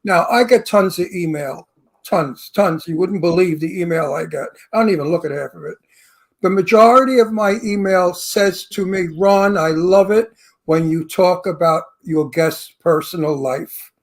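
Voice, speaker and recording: A man's voice: sits high, normal speed. A man co-hosting a radio interview show, talking bluntly and gossiping with casual swearing.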